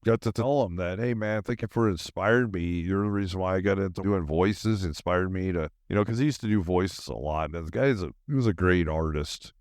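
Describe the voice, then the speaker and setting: A man's voice: low voice, fairly quick, few pauses, darkish tone, no echo, studio quality. A middle-aged man hosting a podcast, talking in a loose, meandering, informal and slangy way about his own life. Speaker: podcast host. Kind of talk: rambling casual personal monologue.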